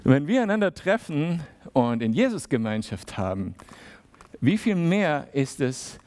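A man giving a sermon in a relaxed, conversational way, with many fillers and little acted-out exchanges. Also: a little high, unhurried. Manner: conversational, acts out small dialogues. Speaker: preacher.

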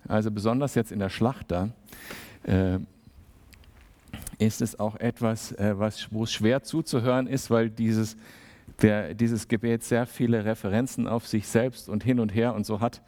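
A man giving a sermon in a relaxed, conversational way, with many fillers and little acted-out exchanges. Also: on the low side, measured pace. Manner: conversational, acts out small dialogues. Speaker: preacher.

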